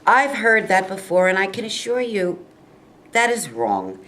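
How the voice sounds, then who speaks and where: A woman playing an earnest, reassuring high school football coach in a staged reading, with a measured, persuasive delivery. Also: very animated, a bit bright, poor audio. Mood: defensive, persuasive.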